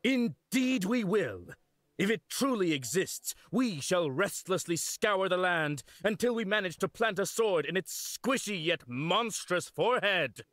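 An actor playing a gallant knight in a video game, speaking in a formal, grandiose manner. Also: male voice, high-pitched, lively intonation, unhurried, a bit bright, dry recording. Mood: heroic resolve. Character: gallant, pompous male knight. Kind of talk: video game character acting.

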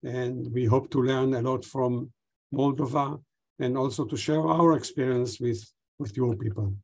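An older man from Israel gives formal opening remarks on a video call, with hesitant pauses. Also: medium pitch, fairly even intonation, gravelly, darkish tone. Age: older, 60s or above. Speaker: fintech expert giving opening remarks.

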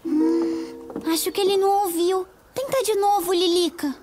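Young female koala, cartoon sidekick, extremely high pitch, caring and attentive, music underneath. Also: steady tone, moderate pace. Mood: caring, concerned. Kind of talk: children's cartoon voice acting.

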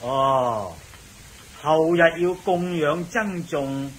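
An actor playing an elderly, genial palace cook in a radio drama, chatty and deferential with hesitant interjections. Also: male voice, fairly high pitch, drawn-out words. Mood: deferential, eager. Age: older. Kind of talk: Cantonese radio drama acting. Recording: faintly noisy radio drama recording.

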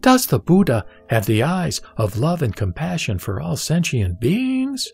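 A man playing the Buddha as a serene teacher, reciting scripture in a measured, didactic, emphatic way. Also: a little high, wildly swooping pitch, gravelly, darkish tone, husky, soft background music, heavily acted. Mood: questioning, instructive. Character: the Buddha, serene male spiritual teacher instructing a disciple. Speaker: sutra audio drama reader.

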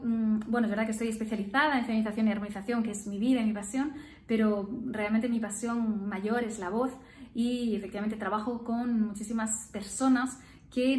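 A woman's voice: on the high side, darkish tone, echoey room. A woman in her thirties, a speech therapist, talking casually to camera with many fillers and tag questions. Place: room with painted geometric wall, indoors. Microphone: camera in front, upper body framing.

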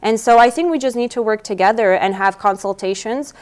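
A woman's voice: fairly high pitch, brisk, darkish tone. A woman in her twenties, not a native English speaker, answering interview questions earnestly in a TV studio on a lapel mic. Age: 20s. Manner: earnest, eager, fluent with fillers. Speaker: political candidate, guest.

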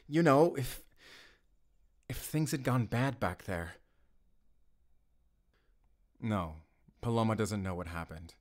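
Man acting a slow, halting, tender worried father. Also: mid-range voice, natural voice. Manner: halting, sighing, soft-hearted. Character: anxious, tender divorced father and lover. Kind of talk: audio roleplay acting.

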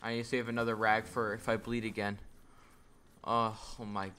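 A man with a fairly low voice talks through his own gameplay, muttering plans and yelling at attackers. His microphone picks up clear background noise and keyboard clicking. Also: normal speed. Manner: excitable, talks to himself and at enemies. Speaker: gaming YouTuber. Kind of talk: live gameplay commentary.

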